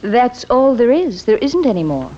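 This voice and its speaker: High-voiced wry young woman, muffled radio. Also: sing-song, moderate pace, dark tone. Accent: American. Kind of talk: old-time radio comedy acting. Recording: muffled old-time radio recording, faint noise.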